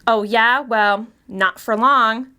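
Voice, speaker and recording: A woman's voice: fairly high pitch, slow, pushed and bright, clean tone. A woman reader voicing a bold, taunting female ringleader in a mystery story, mocking and full of menace. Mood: mocking, menacing. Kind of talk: acted dialogue in mystery story reading.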